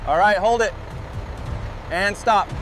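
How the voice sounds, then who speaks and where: A man's voice: high-pitched, slow, fairly bright tone, a little hiss or hum. A man working as a dock hand, calling out short commands to guide coworkers. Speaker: dock worker.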